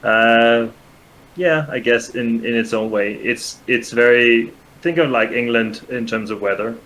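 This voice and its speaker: A man's voice: mid-range voice, measured pace, fairly bright tone, slight background noise, poor audio. A young man whose first language is Danish, a guest in a casual chat, speaking with many fillers and restarts. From Denmark.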